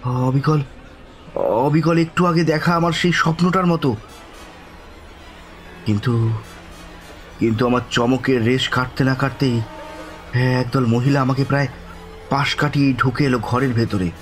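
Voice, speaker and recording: An actor playing a bewildered, frightened man telling a village ghost story in the first person, with a halting, suspenseful, dramatic delivery. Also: medium pitch, fairly even intonation, soft background music, lightly acted. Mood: astonished. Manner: halting, breathy repetitions, suspenseful, pleading in dialogue.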